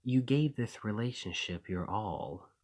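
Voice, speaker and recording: A sinister shapeshifter acted by a man speaks intimately in an ASMR drama. The voice sits fairly low and moves very slowly. The delivery is deliberate and coaxing, with a possessive, softly threatening edge. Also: expressive. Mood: tender, obsessive.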